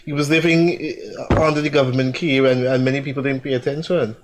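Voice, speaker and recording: A man's voice: medium pitch, level tone, fast, noisy background. A man hosts a casual interview. He speaks in a reflective way. He often answers his guest with short murmured affirmations. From Guyana. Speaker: host.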